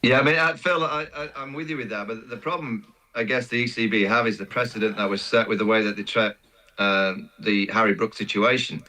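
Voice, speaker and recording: Male sports pundit. Forceful, bright delivery, halting but emphatic conversational argument. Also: lowish voice, steady tone, rough recording. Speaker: cricket pundit.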